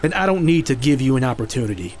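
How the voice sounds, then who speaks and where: A man's voice: mid-range voice, very animated, quick pace, a little mellow, lightly acted. A man playing a confident, stern fighter who guards a sacred treasure in an audio drama, firm and teasing. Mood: stern, assured. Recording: faint background noise.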